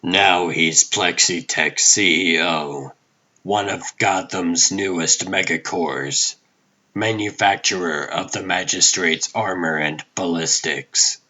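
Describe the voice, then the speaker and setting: A man playing a brooding vigilante detective, narrating a comic drama in a grim, deliberate noir manner. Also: low-pitched, bright, forceful tone, lo-fi sound, exaggerated voice. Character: aging vigilante hero, brooding hard-boiled detective narrator. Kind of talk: scripted comic dub, noir inner monologue.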